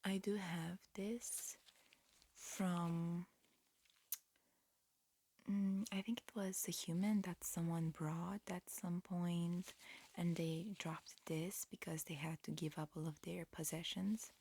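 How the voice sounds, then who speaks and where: A woman performing a whispered ASMR roleplay, mixing breathy whispers with soft speech in a halting, matter-of-fact, instructional manner. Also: on the low side, fairly even intonation. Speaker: ASMR performer playing an alien examiner.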